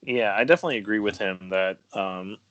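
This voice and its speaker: A man's voice: lowish voice, a little fast, a bit bright, dry recording, muffled recording. A man chatting casually about sports as a podcast co-host, giving his take in an informal way.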